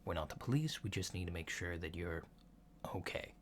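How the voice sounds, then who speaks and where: A man playing a polite alien doctor in a soft-spoken ASMR roleplay, asking checkup questions in a hesitant, methodical, reassuring way, on a lo-fi recording. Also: low-pitched, lively intonation, brisk. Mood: calm, reassuring. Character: polite, unhurried human-looking alien doctor giving a checkup. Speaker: ASMR performer. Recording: lo-fi with clear background noise.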